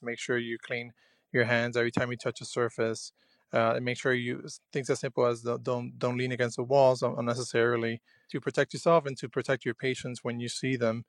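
Soft-spoken young man, halting and earnest. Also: medium pitch, dry recording, studio quality. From Cuba. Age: young adult. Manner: hesitant and earnest, many fillers and restarts. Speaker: junior physician. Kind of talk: personal radio diary.

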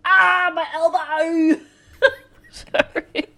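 A Scottish woman co-hosting a casual book chat, playful and quick to giggle. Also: extremely high pitch, level tone, sharp tone, no echo. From Scotland. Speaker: book podcast co-host.

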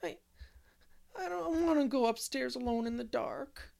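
A woman's voice: fairly high pitch, moderate pace, a little mellow, voice pushed up, natural voice. A woman voicing a timid, sobbing little girl. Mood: frightened. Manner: sobbing, stammering. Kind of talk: audiobook character voice.

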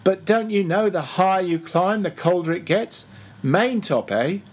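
A man's voice: sits high, expressive, unhurried, slightly rough, pitched above the performer's own voice, full character voice. A man voicing a bossy, mocking ship's mate who badgers and gives orders in a storybook reading. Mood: mock-solemn, bossy. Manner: commanding, interrogating, mock-solemn.

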